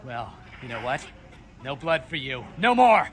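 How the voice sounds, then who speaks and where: A man's voice: mid-range voice, very animated, a little slow, heavy background noise. An actor playing a bitter, crude, petulant male blood dealer in a video game, with a whiny, aggrieved delivery. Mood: petulant, angry.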